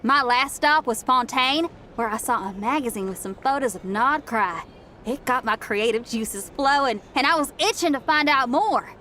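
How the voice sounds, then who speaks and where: A woman voicing an upbeat young female rock musician who talks in a slangy, energetic, laid-back way, in video game dialogue. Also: sits high, normal speed, faint music behind.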